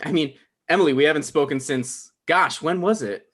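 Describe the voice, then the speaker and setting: An actor playing an anxious, resentful man in his thirties, with halting, defensive delivery in a naturalistic comedy table read. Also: fairly high pitch, a bit bright. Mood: awkward.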